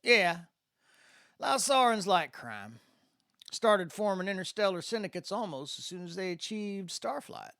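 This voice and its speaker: An easygoing good old boy from the American South , acted out by a male audiobook reader, mentoring in a science fiction story. He talks in a folksy drawl with lively swings of pitch and some gravel in the voice. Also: a little slow, no room sound, studio quality, heavily acted. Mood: folksy, explaining.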